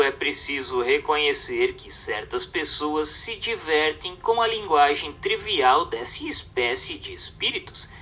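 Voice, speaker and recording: A man with a Brazilian accent reading a religious treatise aloud as a narrator, in a formal, measured, didactic way. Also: fairly high pitch, sharp tone.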